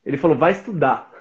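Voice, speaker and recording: A man in his forties from São Paulo, with a São Paulo accent, chatting in a relaxed, slangy way as a guest on a live-stream video call. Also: a little high, dull audio. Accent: São Paulo Brazilian Portuguese. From São Paulo, Brazil. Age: 40s. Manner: slangy, laid-back, chummy. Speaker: interview guest. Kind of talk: casual live-stream interview.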